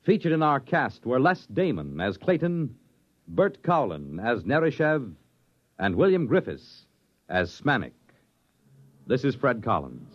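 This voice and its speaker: A man's voice: medium pitch, wildly swooping pitch, a little slow, dull audio. A man with an American accent announcing formally and dramatically in a scripted 1950s radio style. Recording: slightly rough vintage radio recording.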